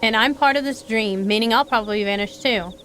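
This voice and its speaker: Woman acting an earnest, higher-voiced game heroine. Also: brisk, lightly acted. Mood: questioning. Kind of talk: visual novel dialogue and inner narration. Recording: game audio with music behind.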